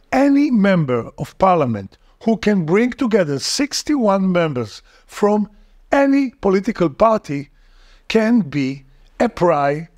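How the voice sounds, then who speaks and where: A man speaks slowly and earnestly in English. His voice sits slightly high, and it rises and falls a lot as he asks rhetorical questions and stresses his points. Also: a little mellow. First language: Hebrew. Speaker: political commentator. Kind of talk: scripted video essay.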